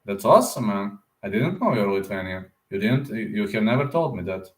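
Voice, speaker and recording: A man's voice: lowish voice, expressive, normal speed. Rambling man on a live stream, roomy echo. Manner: rambling, answers viewer comments aloud; repeats words, often ends with "man". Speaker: combat sports YouTuber. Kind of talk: casual live stream chat.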